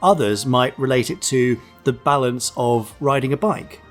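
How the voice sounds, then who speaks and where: A man's voice: mid-range voice, normal speed, faint music behind. A British man hosting a podcast, casually explaining things and answering listener questions. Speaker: podcast host.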